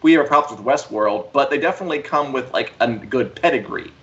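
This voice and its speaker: A man's voice: mid-range voice, a little fast, fairly bright tone, slight background noise. A man with a middle-range voice co-hosts a casual entertainment chat. He talks in an informal, discursive way, looping through asides and opinions. The sound is slightly dull, like a lo-fi online stream. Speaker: co-host of an entertainment news live show. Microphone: online live-stream call.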